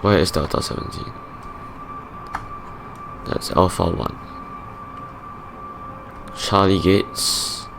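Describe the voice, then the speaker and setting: A young man with a Singaporean English accent, raspy from just waking up, mumbling through a flight sim checklist in halting play-by-play. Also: sits low. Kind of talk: casual play-by-play of a simulated flight. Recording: loud aircraft engine hum behind.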